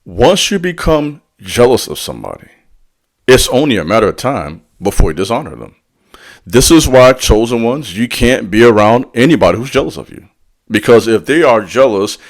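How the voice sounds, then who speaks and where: A man giving a motivational spiritual talk in a preacherly way. He speaks directly to the listener in slang and drops call-and-response asides between points. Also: mid-range voice. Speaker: spiritual teacher.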